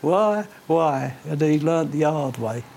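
Man, sixties, London accent, fairly high pitch, colloquial sweary storyteller, casual interview, noisy background. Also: animated, slow, creaky, darkish tone. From born in Cyprus, grew up in north London. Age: 60s. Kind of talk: casual oral history storytelling.

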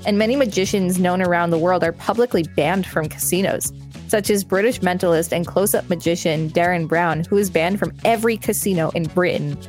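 A woman's voice: on the low side, fairly quick, a little mellow, faint music behind. A woman co-hosting a podcast, reading an encyclopedia article aloud and chatting casually. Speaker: podcast co-host.

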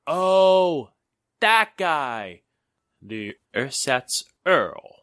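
A man's voice: fairly high pitch, sing-song, drawn-out words, fairly bright tone, dry recording, studio quality, heavily acted. A man acting a playful, wisecracking fellow in a 1940s-style radio drama, with jokey, theatrical patter. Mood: playful, wry.

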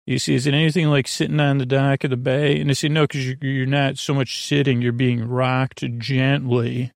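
A man with a creaky, soothing voice, hosting a bedtime podcast in a halting, meandering monologue full of tangents. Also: mid-range voice, level tone, dry recording, studio quality. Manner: lulling, soothing. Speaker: podcast host.

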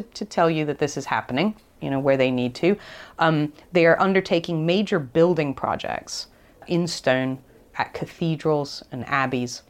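A woman speaking as an academic guest in a conversational interview, explaining things in a hesitant, thinking-aloud way. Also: deep voice. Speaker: academic expert guest, archaeologist.

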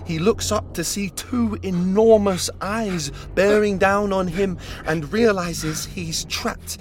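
A man reading a dark horror story aloud, intense, swinging between urgent peaks and low hushed passages. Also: takes it slowly, a little hiss or hum. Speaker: audiobook reader. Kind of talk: scripted dramatic horror narration.

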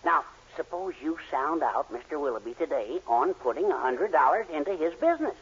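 An actor playing a meek, kindly middle-aged husband, henpecked and hesitant, in a 1940s radio sitcom. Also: male voice, a little high, sing-song, a little fast, husky, heavily acted. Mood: apologetic, hesitant. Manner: hesitant, hemming and hawing. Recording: muffled 1940s radio broadcast recording.

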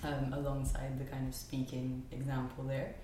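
Young woman from Spain, very low voice, chatty podcast guest full of ums, booming echoey room. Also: completely flat delivery, normal speed, warm tone. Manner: chatty, frequent ums, laughs often. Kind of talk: casual conversational chat. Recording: very echoey room with faint noise.

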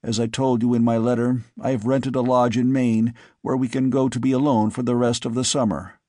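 A man voicing a weary, brooding older father who speaks in a soft, tired, probing way. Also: mid-range voice, no room sound, studio quality, close to an everyday voice.

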